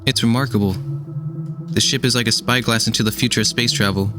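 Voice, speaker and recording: A man's voice: mid-range voice, steady tone, a little fast, lightly acted. A man playing an awed, wordy technology enthusiast in a radio drama. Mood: awed, eager. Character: awed, talkative male enthusiast of ship technology. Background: clear music.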